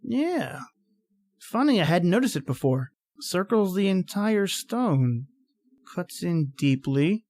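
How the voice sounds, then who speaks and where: A woman's voice: lowish voice, sing-song, slow, a little mellow, exaggerated voice. A woman playing an impatient, rational professor in a radio horror play, with a theatrical, exclamatory manner. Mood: confident, condescending. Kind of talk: old-time radio horror acting.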